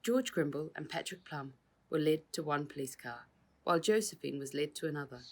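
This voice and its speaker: A woman's voice: deep voice, expressive, a little fast. A woman reading her own children's novel aloud as scripted narration, acting out the dialogue expressively.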